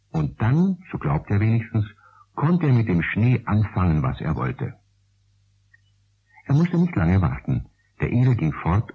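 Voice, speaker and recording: A man narrating a children's story in a 1960s German radio play, in a husky voice. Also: fairly low pitch, heavily acted. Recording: dull, lo-fi 1960s radio recording.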